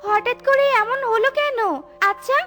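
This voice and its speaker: A woman's voice: extremely high pitch, moderate pace, a bit bright. A woman voicing a caring, protective elder sister bird in a children's cartoon. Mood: puzzled. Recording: music behind the voice.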